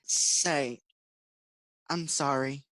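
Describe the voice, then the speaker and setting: A woman's voice: very deep voice, drawn-out words, fairly bright tone, full character voice. A woman voicing a childish male prankster in a 1940s-style radio play. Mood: sheepish. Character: teasing, childish male prankster, then sheepish.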